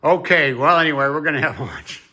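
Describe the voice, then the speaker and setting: Bright-voiced, plain-spoken man. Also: fairly high pitch, expressive, brisk. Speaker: channel host. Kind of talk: casual chat to camera.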